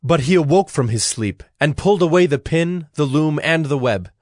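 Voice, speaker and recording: A man narrating dramatized scripture in a measured storytelling manner. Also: fairly high pitch, lively intonation, dry recording. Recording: dry studio with music underneath. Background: music.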